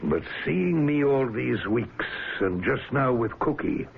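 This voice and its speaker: Gravelly, brooding sea captain. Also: male voice, medium pitch, expressive, exaggerated voice. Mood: guarded, uneasy. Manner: gruff, halting, probing, old-fashioned seafarer's speech. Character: brooding ship's captain who fears madness. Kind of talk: radio drama acting. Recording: muffled old radio recording, faint hiss.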